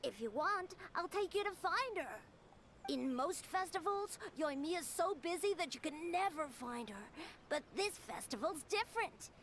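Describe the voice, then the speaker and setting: A woman's voice: very high voice, expressive, unhurried, fairly bright tone, slight background noise, heavily acted. A chatty, boastful young boy. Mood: cheerful. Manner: rambling, enthusiastic. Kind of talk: game cutscene voice acting.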